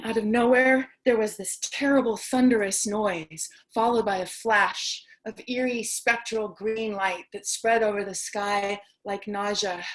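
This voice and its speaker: A woman's voice: on the high side, lightly acted, low-quality recording. A woman playing a lone, anxious apocalypse survivor in a theatrical stage monologue, wry and conversational.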